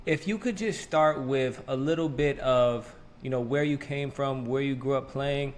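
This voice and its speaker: A man hosts a relaxed interview and asks friendly questions at an unhurried pace. He pauses and restarts now and then as he talks. His pitch is ordinary, set in the middle of the range. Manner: friendly, easygoing questions with hesitations. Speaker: interview host. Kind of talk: casual interview chat.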